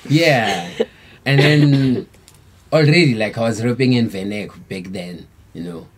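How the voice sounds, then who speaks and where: A man's voice: medium pitch, measured pace, breathy edge. A South African man, a band member, telling a long story in a laid-back, rambling way with lots of slang fillers. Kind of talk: casual storytelling interview.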